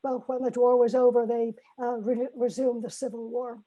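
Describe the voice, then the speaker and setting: A woman's voice: a little high, flat delivery, moderate pace. An older woman, a professor, telling her life story in a reflective talk on a video call, with frequent fillers and restarts. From China. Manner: gentle, many fillers and restarts. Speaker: professor giving a personal talk. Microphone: video call mic.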